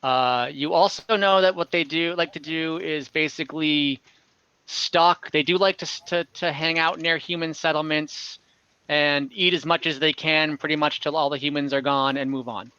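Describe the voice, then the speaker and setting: Bright, clear higher-pitched man running a game. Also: steady tone, no room sound, muffled recording. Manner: halting, pausing mid-sentence as he improvises. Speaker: game master. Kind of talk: improvised narration of an online tabletop game. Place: indoors, online voice call.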